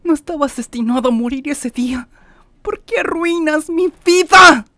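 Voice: high-pitched, moderate pace, sharp tone, dry recording, soft background music. An actor voicing a petulant, anguished villainous angel in a fandub, given to indignant whining. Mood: distraught, outraged. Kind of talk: fandub character acting.

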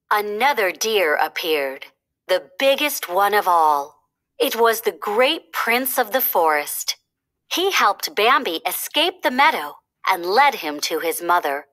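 A woman reading a children's storybook aloud as narrator, with expressive, deliberate delivery. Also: medium pitch.

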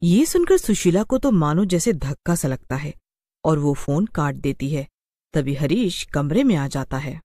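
A woman reading scripted story narration for an animated tale. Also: low voice, a little slow, dry recording.

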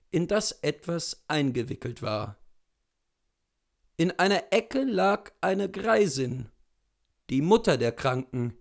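A man in his thirties reading a literary story expressively, with deliberate dramatic pauses. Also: a little high. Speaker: literary reader, narrator. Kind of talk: expressive literary audiobook narration. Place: indoors in front of bookshelves.

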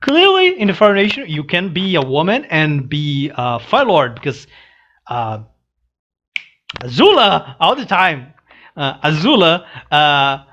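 A young man who is not a native English speaker, giving casual reaction commentary in a halting, hesitant way into a headset mic. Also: on the high side, big pitch swings, a bit bright. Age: young adult. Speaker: reaction channel host. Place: small room indoors. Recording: dull lo-fi headset audio.

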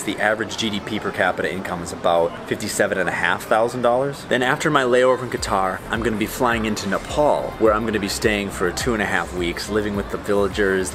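Chatty american guy vlogging in an airport. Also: fairly low pitch. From United States. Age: 30s. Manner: chatty and joking, quick asides. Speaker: travel vlogger. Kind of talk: casual vlog talk to camera. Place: large airport terminal, indoors. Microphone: handheld camera at arm's length.

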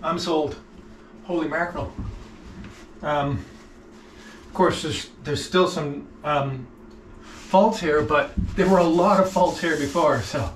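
A middle-aged man casually talking through his hands-on work to the camera, with halting pauses as he goes. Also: on the high side. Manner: talks haltingly while working, with pauses. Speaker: vlog host, boat restorer. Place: inside a wooden boat in a shed and at its workbench. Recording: noisy workshop recording with clicks.

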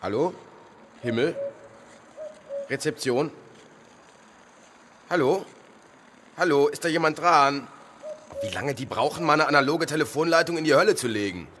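A man's voice: on the high side, animated, moderate pace, pauses often, a bit bright, slight background noise. An actor playing a grumpy male worker at heaven's gate in a radio play, dry and grumbling. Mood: impatient. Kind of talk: German radio play acting.

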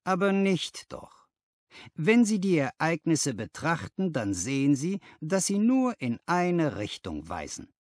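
A man voicing a confident, analytical gentleman detective who lectures emphatically in an audiobook, posing rhetorical questions. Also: fairly high pitch, huge pitch swings, slow pace, no room sound, studio quality, exaggerated voice. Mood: instructive. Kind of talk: audiobook character dialogue.

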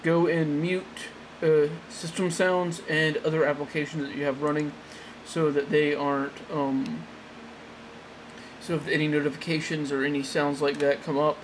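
A man giving a casual, unscripted how-to demonstration in a halting, rambling way, often correcting himself, talking from behind a handheld camera. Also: on the high side, flat delivery, slight background noise, poor audio. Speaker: amateur tech tutorial presenter. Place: small indoor room at a desk. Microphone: handheld camera, off-screen close behind it.

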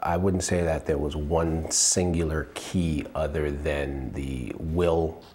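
A man in his forties from Liberia, giving measured interview answers as a company co-founder and repeating phrases for emphasis. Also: a bass voice, steady tone, warm tone. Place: indoors, shop with product shelves.

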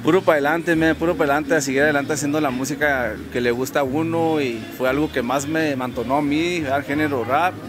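Man, 30s, rapper, slangy relaxed talk, slightly high voice, outdoors, handheld mic, music bed. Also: fairly quick, few pauses. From Ojinaga, Mexico. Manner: laid-back, slangy, keeps adding "¿verdad?". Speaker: rapper guest. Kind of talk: casual interview talk. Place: outdoors on a park bench by a street.

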